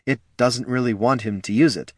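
A man voicing a brisk, matter-of-fact female spellcaster. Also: mid-range voice, no echo, studio quality, natural voice.

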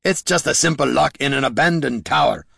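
A man voicing a gruff, bossy, impatient warrior leader in a fantasy audiobook, with a blunt folksy bark. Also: mid-range voice, a little fast, fairly bright tone, dry recording, studio quality, voice pushed up, heavily acted. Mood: exasperated.

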